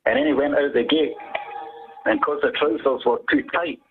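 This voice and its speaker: A man's voice: on the high side, normal speed, slight background noise. A man with a Scottish accent calling into a talk show, telling a comic story and laughing along. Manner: animated, laughs at his own story. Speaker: caller. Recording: tinny phone line.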